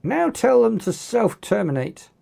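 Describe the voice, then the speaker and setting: A narrator voicing a commanding young boy hero who gives forceful, clipped orders in an audiobook. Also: medium pitch, very animated, unhurried, vocal fry, pitched above the performer's own voice, heavily acted.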